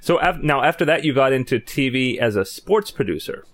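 A man's voice: mid-range voice, animated, brisk. A man hosting an interview, prompting his guest with short questions and frequent "wow" and "mm-hmm" responses. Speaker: interview host.

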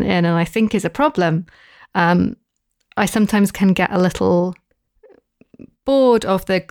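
A woman speaking as a podcast guest, thinking aloud in a halting way with restarts and fillers. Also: medium pitch, gravelly, no room sound, studio quality. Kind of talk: casual podcast discussion.

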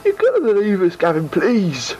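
Performer doing pleading ex-henchmen, low, earnest, desperate, faint hiss, radio play. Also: wildly swooping pitch, moderate pace, darkish tone. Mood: begging. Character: pleading freed henchmen, once controlled.

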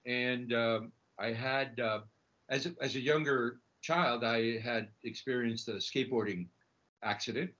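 A middle-aged man from Chile tells his story as an interview guest. His medium-pitched voice is bright and forceful, and he speaks haltingly, often repeating words. The audio is dull and lo-fi. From Chile, emigrated to the United States. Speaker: podcast guest, snack bar entrepreneur. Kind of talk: conversational personal storytelling.

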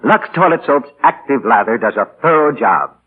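Man, old-time radio announcer, scripted pitch, slightly rough voice, muffled vintage recording. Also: expressive, moderate pace. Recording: muffled, tinny 1940 radio broadcast.

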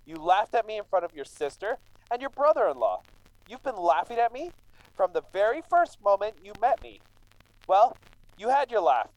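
A man's voice: sits high, big pitch swings, normal speed, low-quality recording. An actor playing a scrawny, resentful immigrant man with an aggrieved, brooding manner in a radio drama. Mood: menacing, vengeful. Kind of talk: true crime radio drama acting.

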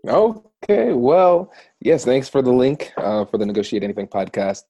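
A man's voice: medium pitch, very animated, normal speed, dark tone, dry recording. A man from Ohio who is a guest on a podcast and explains his ideas in a friendly, thoughtful way. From Ohio, USA. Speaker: podcast guest, negotiation attorney. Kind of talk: podcast interview.

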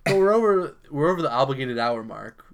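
A young man co-hosting a podcast, teasing his co-host in relaxed, joking banter. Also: mid-range voice, a little fast, creaky, clean tone. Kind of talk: casual chat.

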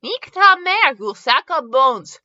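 A woman's voice: high voice, very animated, a little slow, bright, forceful tone, highs cut off, exaggerated voice. A woman voicing a bossy, sneering female French bulldog with a French accent, imperious and insulting, as in a storybook reading. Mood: outraged.

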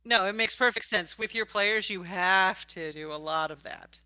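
A woman's voice: medium pitch, moderate pace, bright, forceful tone, clean tone, no room sound, highs cut off. A woman hosting a conversational interview about tabletop games, speaking in an emphatic, opinionated way. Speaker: podcast host and interviewer.

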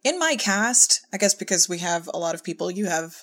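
A woman's voice: lowish voice, lively intonation, brisk, fairly bright tone. A woman talking casually about her directing work as a podcast guest. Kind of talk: casual conversational discussion.

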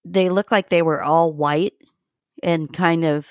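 A woman's voice: sits low, steady tone, slow, no room sound, studio quality. A woman hosting a casual podcast, chatty and giggly, swearing now and then. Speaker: podcast host.

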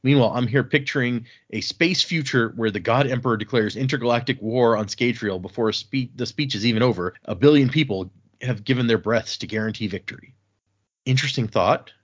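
A man hosting a podcast, chatting casually and reading listener emails aloud in a relaxed, halting way. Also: mid-range voice, breathy edge, dull audio. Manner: relaxed, halting, with restarts and fillers. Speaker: podcast host.

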